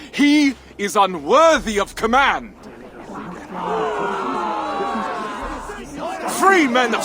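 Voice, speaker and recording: A man's voice: very high voice, bright, forceful tone, a little hiss or hum, full character voice. A man playing a proud, determined Viking trader and warrior in a video game, with a rousing, declamatory delivery. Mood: indignant, rallying. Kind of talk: dramatic video game acting.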